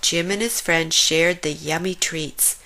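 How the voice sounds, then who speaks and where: A woman reading a children's story aloud as an expressive storyteller. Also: fairly low pitch, measured pace.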